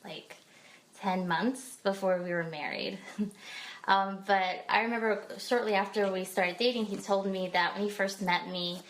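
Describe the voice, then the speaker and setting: A woman from Pennsylvania, a podcast guest sharing her personal story in a hesitant way, with frequent pauses and fillers. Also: mid-range voice, steady tone, fairly bright tone. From Pennsylvania, United States. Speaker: guest speaker. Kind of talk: personal testimony in a podcast interview.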